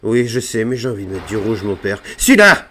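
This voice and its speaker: Playful man with wildly swinging pitch. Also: very animated, fairly quick, sharp tone. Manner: playful, hamming it up, slangy. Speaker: gaming video creator playing online roleplay. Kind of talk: improvised game roleplay and casual chat.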